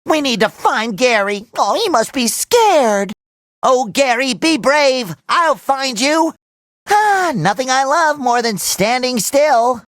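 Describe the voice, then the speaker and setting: A man voicing a cheerful, naive, excitable young male cartoon sea sponge in a video game, with a nasal, squeaky, childlike and eager delivery. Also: slow pace, dry recording, studio quality, exaggerated voice. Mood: worried, tender, then upbeat.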